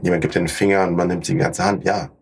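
A man's voice: low voice, little pitch movement, quick pace, gravelly, breathy edge. A young man from Germany answering conversationally as a podcast guest, dropping English business words into his speech. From Paderborn, Germany. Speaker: podcast guest, startup founder.

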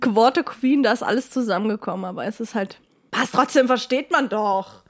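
A woman with a fairly high voice chats casually as a podcast co-host. She is playful and giggly, and talks in loose slang. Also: moderate pace. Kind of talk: casual comedic chat.

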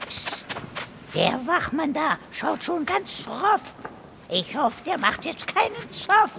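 A woman's voice: high-pitched, big pitch swings, takes it slowly, gravelly, pushed and bright, a little hiss or hum, full character voice. A woman playing a cheeky companion who speaks in playful singsong rhymes in a children's audio drama.

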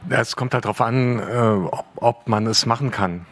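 A man's voice: mid-range voice, normal speed. A man, a radio play author and workshop leader, talking in a panel discussion, hesitant with many fillers and wry humor. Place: panel stage at an arts academy.

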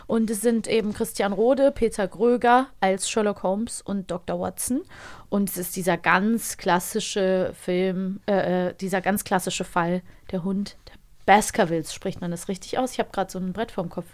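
A woman's voice: mid-range voice, fairly even intonation, normal speed. A woman co-hosting an audio magazine, chatting in an informal, playful way. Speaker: magazine show co-host. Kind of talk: casual chat about audio dramas.

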